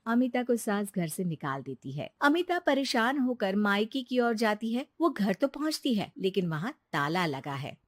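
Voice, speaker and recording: A woman narrating a cartoon story in an even, measured way on a close studio mic. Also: medium pitch, no echo.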